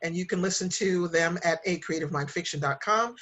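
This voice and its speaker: A woman's voice: lowish voice, a little fast, a bit bright. A woman speaking conversationally as a guest writer on a podcast, introducing herself and her work.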